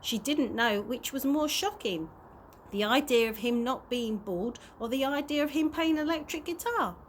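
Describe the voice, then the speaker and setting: A woman's voice: high-pitched, lively intonation, moderate pace. A woman reading a children's story aloud on a slightly noisy amateur recording. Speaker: early years practitioner reading stories.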